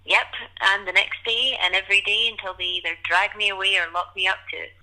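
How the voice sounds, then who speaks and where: An enthusiastic young poet in an audio drama. Her voice is medium in pitch with a crisp, very bright edge, through a telephone filter. She talks informally and irreverently. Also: fairly even intonation, a little fast, poor audio. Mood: teasing. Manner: keen, informal, sweary. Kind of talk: audio play dialogue.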